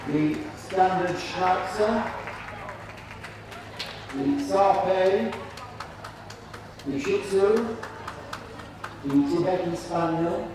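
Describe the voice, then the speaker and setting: A man announcing and commentating at a live show in a measured, deliberate, formal manner. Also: high-pitched. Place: large indoor show arena. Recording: echoey PA in noisy crowded hall, distant. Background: crowd noise and hall ambience.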